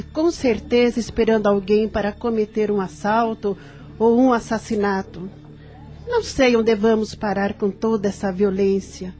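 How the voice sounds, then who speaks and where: A woman's voice: mid-range voice, a little mellow, lightly acted. A woman playing an anxious, pious bystander in a radio drama, chattering indignantly. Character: worried, pious woman bystander gossiping on the street.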